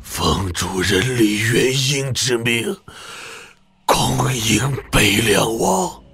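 A man playing an eerie, devoted old retainer on the point of death, hoarse and rasping, in a wuxia audio drama. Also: medium pitch, lively intonation, very slow, fairly bright tone, soft background music, heavily acted. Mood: eerie, dying devotion. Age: older.

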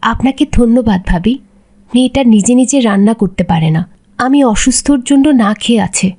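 Cartoon casting: devout, ailing mum, played by a woman, weak and raspy, mellow, resigned. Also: on the high side, a little fast, natural voice. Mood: grateful. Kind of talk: Islamic cartoon dub acting.

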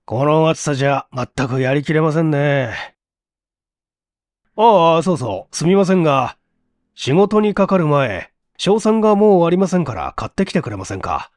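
Fretful, animated male assistant, acted by a narrator. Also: fairly high pitch, moderate pace, no room sound, clean studio sound, lightly acted. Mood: polite. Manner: polite, restless, questioning.

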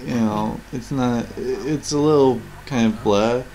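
A man's voice: mid-range voice, a little slow, crowd noise. A man giving casual, questioning commentary over game cutscenes, rambling and lightly sardonic. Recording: game audio playing behind voice.

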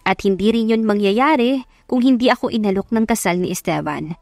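A woman's voice: medium pitch, a little fast, darkish tone, dry recording. A woman reading a scripted first-person love story as an audiobook narrator.

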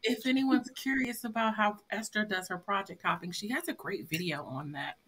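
A woman's voice: medium pitch, normal speed, fairly bright tone, husky. A woman co-hosting a writing stream on a video call, joking and playfully teasing in casual chat.

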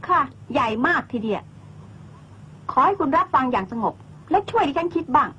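A woman's voice: high voice, very animated, normal speed, pushed and bright, muffled recording, exaggerated voice. A woman playing a blunt young woman friend who scolds and insists sharply. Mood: indignant.

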